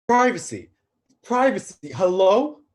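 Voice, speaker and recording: A man playing a stressed, awkward, lovestruck young god in a comic staged reading, halting, flustered and touchy. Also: sits high, very animated. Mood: exasperated.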